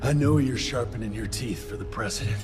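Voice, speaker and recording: A grim vampire hunter of the Wild West, played by a man in a game cutscene. The voice sits at a middling pitch, terse and commanding, under loud music. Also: fairly quick, close to an everyday voice. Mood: grim, threatening. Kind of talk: video game cutscene acting.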